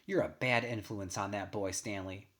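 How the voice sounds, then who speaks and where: A man's voice: on the low side, fairly even intonation, moderate pace, a bit bright. A man voicing a scornful, nagging farm mother with emphatic, folksy scolding in a read-aloud story. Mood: reproachful.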